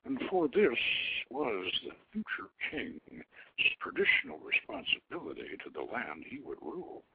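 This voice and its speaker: A man's voice: medium pitch, wildly swooping pitch, moderate pace, creaky, background noise. A man reading a story aloud as a radio host, in a theatrical, formal storytelling style. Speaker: radio show host and author. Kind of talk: dramatic storytelling, reading his own novelette. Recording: muffled, thin phone-like radio line.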